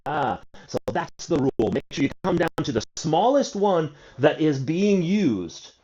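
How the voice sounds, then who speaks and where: A man's voice: a little high, very animated, measured pace, mellow. A man in his forties teaching an English grammar lesson, asking and answering his own questions and drawing out key words. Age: 40s. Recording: dull lo-fi recording.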